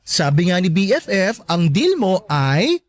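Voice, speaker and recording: A woman playing a sharp-tongued, joking best friend in a Filipino radio drama, campy and teasing. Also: fairly low pitch, big pitch swings, measured pace, no room sound. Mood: playful, teasing.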